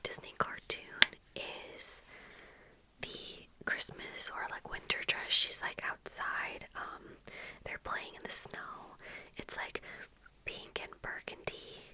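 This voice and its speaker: A young woman speaks entirely in a breathy whisper, very close to the mic. Her delivery is slow and halting, like a casual chat while getting ready, and the whisper has a very bright, sharp edge. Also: poor audio. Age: young adult. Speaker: ASMR video creator. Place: small indoor room at home with bookshelves. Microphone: fluffy-covered microphone close in front, earphones on.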